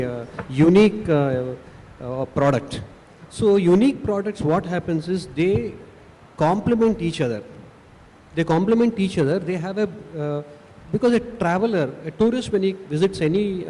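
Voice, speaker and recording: A man's voice: fairly high pitch, warm tone. A man with an Indian English accent answering questions on a conference panel, hesitant with many fillers and restarts. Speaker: panelist from a film city destination. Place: conference hall on stage. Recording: echoey hall with faint noise.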